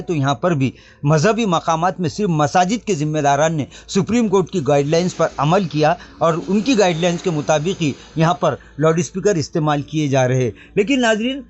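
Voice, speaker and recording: Higher-pitched male news presenter, dull recording. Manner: formal, addresses viewers directly. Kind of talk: scripted news report in formal Urdu register.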